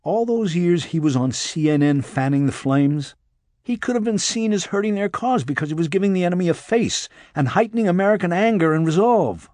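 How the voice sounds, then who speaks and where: A male narrator acts out a female federal agent in a crime audiobook. She is sharp, sarcastic and exasperated, with a lifted pitch that swings in a scolding way. The sound is dry. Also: expressive, fairly quick, no echo. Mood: measured. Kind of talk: audiobook character dialogue.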